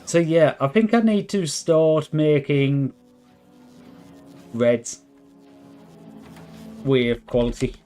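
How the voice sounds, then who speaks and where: A hoarse man drawls through relaxed live game commentary, his voice worn from hours of talking. He thinks aloud at an unhurried pace and chats with viewers, with faint music playing behind him. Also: on the high side. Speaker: live streamer. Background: faint background music.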